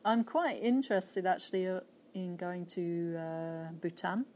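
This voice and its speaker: A woman's voice: on the low side, measured pace, a little mellow. A woman from the UK, a podcast guest, musing thoughtfully with lots of hedging asides. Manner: thoughtful, hedging, many "I mean" and "you know". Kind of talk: casual interview chat.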